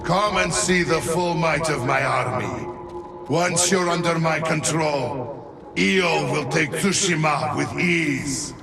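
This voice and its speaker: An actor playing a menacing male warlord spirit commander in a game, speaking slowly and threateningly. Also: on the high side, gravelly. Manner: slow, threatening, taunting. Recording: roomy, distant voice over loud music.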